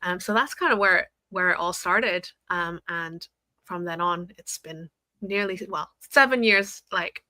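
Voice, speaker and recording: A woman's voice: on the low side, a little slow, pushed and bright, no room sound. A woman in her thirties from Hong Kong, a brand designer chatting as a guest on a live video call, laughing often. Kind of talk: casual interview chat.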